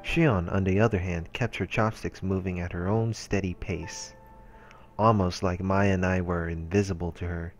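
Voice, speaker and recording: A man narrating a visual novel aloud in a measured storytelling manner. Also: sits low, fairly even intonation. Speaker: let's-play narrator. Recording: voice-over with music behind. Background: game music.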